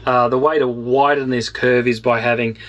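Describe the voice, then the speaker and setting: A man working as a marine technician, giving a measured technical explanation. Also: mid-range voice, level tone, fairly bright tone, highs cut off. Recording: noisy on-location ambience.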